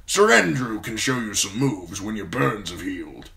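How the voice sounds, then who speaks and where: A man voicing a blunt, gruff old military lord who gives brusque orders, in an audiobook reading. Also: fairly low pitch, very animated, moderate pace, bright, forceful tone, voice pushed up, exaggerated voice.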